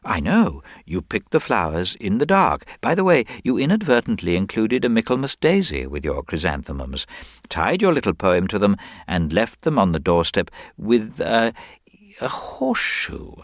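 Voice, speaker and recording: A man playing a mild, shrewd government investigator in a detective story, speaking politely and deliberately with small murmured hesitations. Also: fairly low pitch, sing-song, dry recording, studio quality, pitched above the performer's own voice. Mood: gently probing. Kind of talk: dramatised audiobook detective story.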